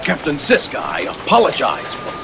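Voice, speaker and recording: An exasperated male newspaper editor voiced by an actor in an audio drama. He scolds and needles sardonically in a high, animated voice. Music plays clearly underneath. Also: moderate pace, heavily acted.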